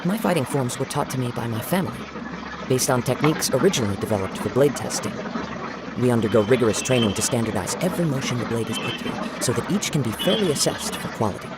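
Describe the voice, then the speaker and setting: A man's voice: mid-range voice, animated, fast, natural voice. Man playing a reserved, polite young wandering swordsman. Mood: puzzled, serious. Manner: formal, reflective, reticent. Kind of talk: video game character acting. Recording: loud noise behind the voice.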